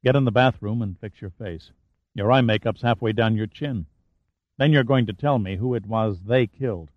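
A man's voice: on the low side, normal speed, no echo, lightly acted. A male narrator playing a tough, blunt man who talks in a curt, sardonic way. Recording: dull, muffled dry recording.

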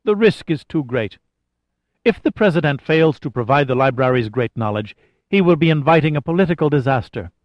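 A man's voice: mid-range voice, no echo, highs cut off, lightly acted. A man voicing a proud, principled Egyptian woman official in an audiobook novel, blunt, formal and emphatic.

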